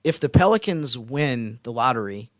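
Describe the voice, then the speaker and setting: A man's voice: medium pitch, big pitch swings, normal speed, no room sound. Thoughtful man, dry close sound. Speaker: team writer and co-host. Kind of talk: casual sports podcast chat.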